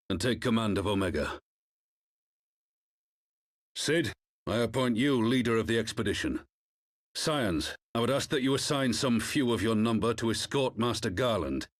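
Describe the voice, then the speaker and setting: Casting: formal, commanding leader in fantasy game; low-leaning voice, dry close sound. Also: male voice, no echo. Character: authoritative male council leader, solemn and formal. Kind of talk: video game cutscene acting.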